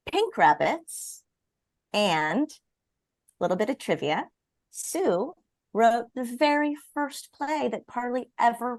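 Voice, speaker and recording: A woman's voice: mid-range voice, dry recording. A woman co-hosting a conversational interview and putting questions to a guest. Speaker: interviewer, co-host.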